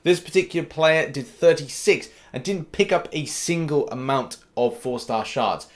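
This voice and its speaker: A British man with a slightly high voice hosts gaming news for his channel. He is upbeat and informal, with a cheeky streak, and leans hard on the start of each new phrase. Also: normal speed. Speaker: gaming news YouTuber and host.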